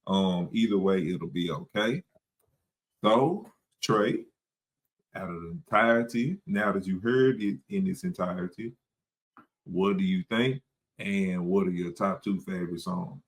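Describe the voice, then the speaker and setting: Very deep, slow-spoken man co-host. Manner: laid-back, searches for words, wraps up segments. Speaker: podcast co-host. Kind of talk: casual music review chat.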